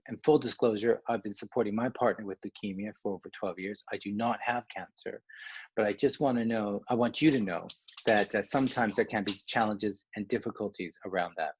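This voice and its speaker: A man's voice: on the low side, darkish tone, slightly rough, dry recording, dull audio. A Canadian man, a coach, giving an online workshop presentation in a conversational way, with restarts and asides.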